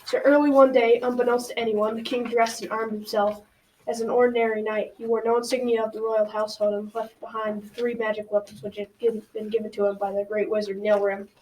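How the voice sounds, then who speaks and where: A woman's voice: on the high side, flat delivery, a little fast, darkish tone, close to an everyday voice. A teenage girl narrating a folktale as scripted storytelling in an amateur school radio drama. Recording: noisy, distant from the mic.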